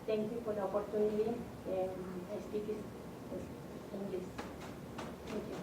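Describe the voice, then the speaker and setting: Woman, from Mexico, halting, self-correcting, distant, echoey, noisy. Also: medium pitch, level tone.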